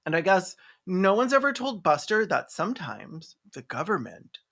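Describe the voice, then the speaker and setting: Video essayist roasting a movie, sarcastic and sweary, medium pitched voice. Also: sing-song, moderate pace, vocal fry, fairly bright tone. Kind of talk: comedic film review commentary.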